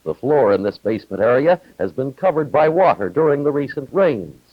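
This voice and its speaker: A man narrates a 1950s television news feature in a scripted reporter's style. His delivery swings expressively and his voice has a husky edge. The old film soundtrack is dull and roomy. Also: medium pitch, sing-song, a little fast.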